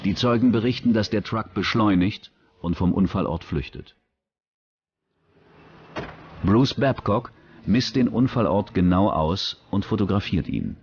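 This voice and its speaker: A man reading scripted true-crime documentary narration in a measured, factual way. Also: lowish voice, lively intonation, lo-fi sound, a little hiss or hum.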